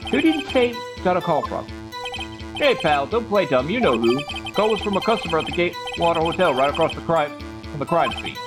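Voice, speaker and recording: A gruff police detective from a video game, acted out by a man, swinging widely in pitch as he talks. He is blunt and slangy, blusters proudly and stammers when challenged, with music playing behind him. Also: very animated, fairly quick. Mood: defensive, blunt.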